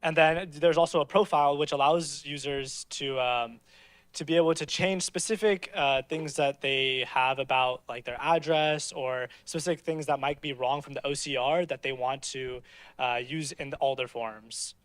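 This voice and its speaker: A man walking through his team's software demo, casually narrating what is on screen. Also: a little high, steady tone, normal speed, no echo. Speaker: hackathon presenter.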